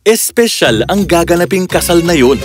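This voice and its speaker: A man's voice: sits high, lively intonation, normal speed. A man narrating a scripted children's fairy tale as the storyteller. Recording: music clearly behind the voice.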